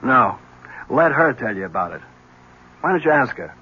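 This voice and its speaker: An actor playing a world-weary desk lieutenant in a 1970s radio drama, dry, sardonic and clipped. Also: male voice, mid-range voice, very animated, normal speed, natural voice. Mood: wry. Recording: muffled old radio recording, background noise.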